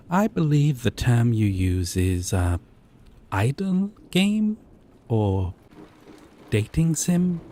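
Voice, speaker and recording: Slow, lilting, gently possessive boyfriend acted by a man. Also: mid-range voice, slight background noise. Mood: tender, coaxing. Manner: tender, coaxing, slow deliberate pauses. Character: gentle possessive yandere boyfriend, dating sim character, soft-spoken. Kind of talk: scripted roleplay audio drama.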